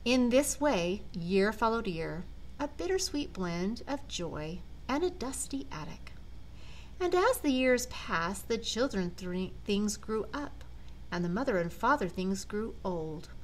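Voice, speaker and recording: An older woman, a grandmother, reading a children's storybook aloud with dramatic, deliberate phrasing. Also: medium pitch, expressive, slight background noise, poor audio. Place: large living room at home.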